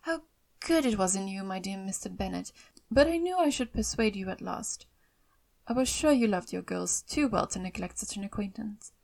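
A woman voicing a fretful, self-pitying, excitable middle-aged mother in an audiobook of a classic novel, with emphatic, complaining delivery. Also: mid-range voice, fairly quick. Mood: overjoyed.

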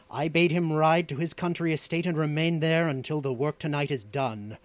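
A man's voice: a little high, dry recording, voice pushed up, close to an everyday voice. A man voicing an ambitious, scheming outlaw in a fantasy audiobook, with an imperious, mocking, declaiming delivery. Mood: commanding. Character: ambitious, scheming, arrogant male outlaw plotter, impatient master. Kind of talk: dramatic fantasy audiobook dialogue.

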